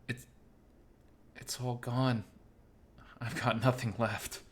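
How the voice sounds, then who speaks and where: A man's voice: mid-range voice, a little slow, sharp tone. A man voicing a despondent, anxious male animal character in an audio story, hesitant and vulnerable. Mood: despairing. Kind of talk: dramatized audio story dialogue.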